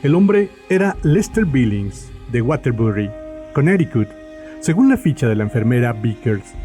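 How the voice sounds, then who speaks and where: A man's voice: medium pitch, sing-song, moderate pace, warm tone. A man narrating a scripted horror story as the narrator of an audio drama. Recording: music under the voice.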